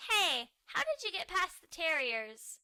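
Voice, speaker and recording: A woman voicing a calm, encouraging female dog in a cartoon storybook, gently questioning and approving. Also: very high-pitched, measured pace, dry recording, pitched above the performer's own voice, full character voice. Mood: curious.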